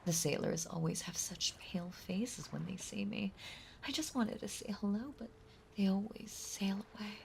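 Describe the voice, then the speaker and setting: A woman playing a possessive, playful yet menacing mermaid in an intimate roleplay audio drama, speaking in a soft, breathy, halting and teasing way. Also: medium pitch, a little hiss or hum, natural voice. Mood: hurt, frustrated. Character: possessive yandere mermaid, playful, sweetly menacing young female. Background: ocean ambience sound effects.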